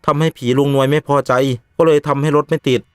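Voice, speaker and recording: A man voicing a respectful, sensible young village man in an animated ghost story, speaking politely and earnestly. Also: a little high, fast talker, clear, resonant tone, no room sound, clean studio sound, natural voice. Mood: earnest, apologetic.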